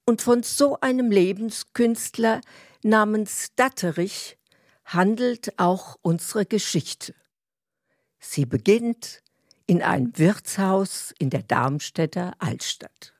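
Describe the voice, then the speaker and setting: Slow, measured woman storyteller. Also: medium pitch, lively intonation, dry recording, studio quality. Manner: measured, deliberate. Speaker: narrator. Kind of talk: scripted storytelling introduction. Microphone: close, dry studio mic.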